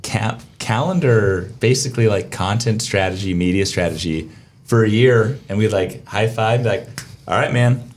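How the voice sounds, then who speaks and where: A man with a raspy voice, co-hosting a podcast in relaxed, joking chat. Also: lowish voice, normal speed. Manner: easygoing, joking, trails off mid-sentence. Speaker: podcast co-host. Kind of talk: casual chat.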